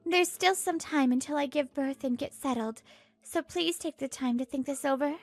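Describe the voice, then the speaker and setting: Woman acting an earnest high-pitched young wife. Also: normal speed, soft background music. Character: earnest pregnant wife and narrator, fed up but hopeful. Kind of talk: scripted manga dub narration and dialogue.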